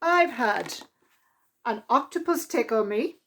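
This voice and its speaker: A woman voicing a furious wildlife television presenter in a storybook, scolding indignantly with drawn-out emphasis. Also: fairly high pitch, animated, gravelly, slight background noise, full character voice.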